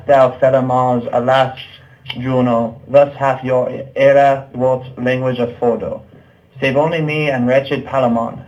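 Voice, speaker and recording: Male puppeteer as lovelorn medieval knight, wretched, even-pitched, declamatory verse, dull recording. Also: close to an everyday voice. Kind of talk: theatrical recitation of old verse.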